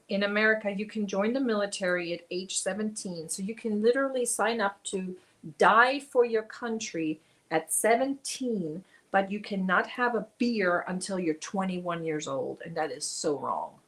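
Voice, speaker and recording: A woman's voice: mid-range voice, a bit bright. Woman, forties, casual crochet stream host, chatty, mutters while stitching. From Germany. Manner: chatty, reads viewer comments aloud, mutters while counting stitches.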